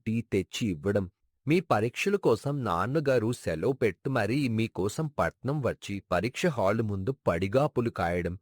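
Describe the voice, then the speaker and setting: A man's voice: medium pitch, darkish tone, no echo, clean studio sound, close to an everyday voice. A man playing a sincere, sentimental colleague and neighbour of a late father, earnestly reminiscing in a moral story. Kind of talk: dramatized moral story acting.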